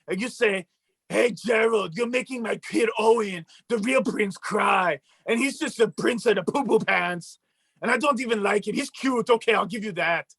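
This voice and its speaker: A man voicing a boastful mustached male puppet who shouts and brags like a cartoon villain, with a gravelly, husky voice. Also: high voice, no echo, exaggerated voice. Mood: scornful. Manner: shouting, bragging, mock-villainous. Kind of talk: comedic puppet bit on a talk show.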